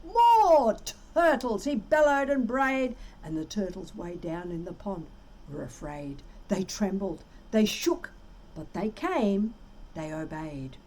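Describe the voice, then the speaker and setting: A woman reading a rhyming storybook aloud for children as an expressive storyteller. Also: lowish voice, slow, rough recording.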